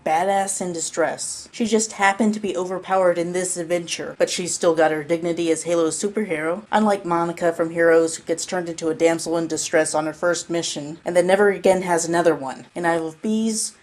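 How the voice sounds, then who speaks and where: A woman delivers scripted critical commentary in a slightly low voice. She sets out an argument as a narrator reading a written analysis. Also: steady tone, fairly quick, hardly any pauses, a little mellow. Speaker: narrator analyzing an audio drama.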